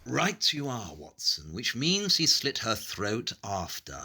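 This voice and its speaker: A man acts out a brilliant, condescending detective of the Victorian age. The voice is bright and forceful, swooping widely in pitch. Every phrase is clipped and commanding, in audio drama style. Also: huge pitch swings, slow, husky, exaggerated voice. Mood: coolly analytical. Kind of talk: scripted audio play acting.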